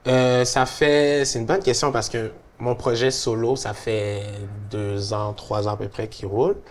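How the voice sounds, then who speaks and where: A man's voice: mid-range voice, a little slow. A young man with a Quebec French accent, a musician chatting casually and reflectively about his career. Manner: relaxed, reflective, many fillers. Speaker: musician guest. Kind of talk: casual conversation.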